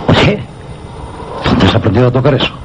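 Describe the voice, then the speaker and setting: An actor with a Castilian accent playing an astonished researcher who recounts an eerie mystery in a suspense radio play, deliberate and full of dramatic pauses. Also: male voice, medium pitch, sing-song, very noisy, natural voice. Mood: amazed. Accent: Castilian Spanish. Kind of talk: Spanish suspense radio drama, first-person narration and dialogue. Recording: radio drama with prominent music behind.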